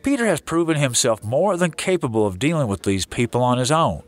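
An actor playing a beleaguered, stubborn sheriff in an audio drama, firm and weary. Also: male voice, mid-range voice, very animated, fairly quick, natural voice. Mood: defensive.

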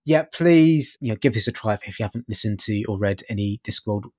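A man's voice: lowish voice, expressive, brisk, a little mellow, no echo, clean studio sound. A man co-hosting a podcast, discussing books in a casual, appreciative, loosely phrased way. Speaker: podcast co-host. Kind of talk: casual book review discussion.

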